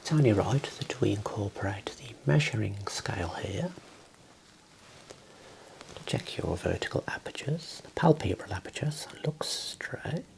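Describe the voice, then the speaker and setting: Man, whispered ASMR clinician role play, very slow and husky-gravelly, gentle praise between steps. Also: lowish voice, expressive, poor audio. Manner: slow, whispered, soft-spoken instructions. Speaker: ASMR creator playing a clinician.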